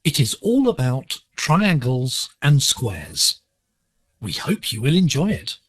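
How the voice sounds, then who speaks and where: A man presenting a scripted radio lesson in a measured, instructive way, heard over a digital shortwave broadcast. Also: medium pitch, big pitch swings. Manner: measured, didactic.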